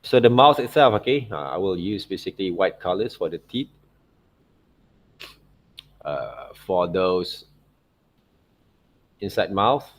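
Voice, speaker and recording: A man giving an unscripted step-by-step tutorial as an art teacher, halting and repetitive, often checking in with short tag words. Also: deep voice, animated. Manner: halting, repetitive phrasing with frequent "okay, right, see" checks. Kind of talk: unscripted step-by-step drawing demonstration.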